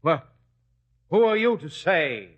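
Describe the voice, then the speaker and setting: An officious, scheming high priest, pompous and commanding. The fairly high male voice swings widely in pitch, on a dull lo-fi radio drama recording. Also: very animated, takes it slowly, heavily acted. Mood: imperious.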